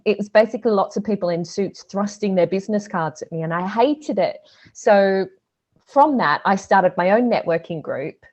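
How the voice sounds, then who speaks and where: A woman's voice: lowish voice, a little mellow. A woman from Australia with an Australian accent, a guest on a podcast, talking chattily with frequent fillers and laughs. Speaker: guest, social media business owner. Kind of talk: casual podcast interview.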